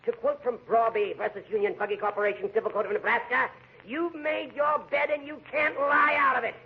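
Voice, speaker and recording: An actor with an American accent playing a crotchety, imperious judge in a 1940s radio comedy. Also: male voice, very high-pitched, steady tone, brisk. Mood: stern. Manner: imperious, scolding, sarcastic. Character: crotchety, easily offended male judge ruling his courtroom. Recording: muffled, noisy 1940s radio recording.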